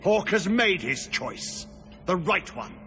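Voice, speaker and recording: A slow, solemn old soldier for a fantasy game, broken yet stubborn. His halting phrases swing widely in pitch and ring through a long hall over some noise. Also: sing-song, pushed and bright, faint music behind, exaggerated voice. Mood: defensive, grave. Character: aged male former Warden Commander, broken yet stubborn. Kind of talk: dramatic fantasy video game acting. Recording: long echoing hall, clear background noise.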